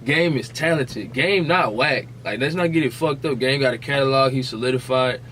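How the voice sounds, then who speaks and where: A man's voice: mid-range voice, normal speed, fairly bright tone, slight background noise. An American man co-hosting a podcast, chatting casually in slang and reasoning aloud in a conversational way. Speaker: podcast co-host.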